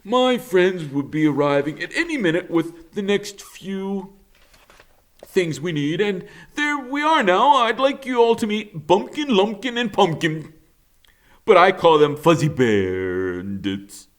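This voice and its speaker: Man doing a high, hearty storybook beaver craftsman. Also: expressive, slow pace. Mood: hearty, calling out. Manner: exclamatory, slightly stammering, hearty. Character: busy, confident old-fashioned male beaver craftsman, cheerful.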